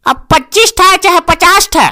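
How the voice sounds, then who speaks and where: A performer as a very high, sassy cartoon bridesmaid. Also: expressive, unhurried, pushed and bright, exaggerated voice. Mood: insistent. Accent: Chhattisgarhi. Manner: insistent, sassy. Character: sassy young bridesmaid haggling stubbornly over shoe money. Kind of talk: comic cartoon dialogue.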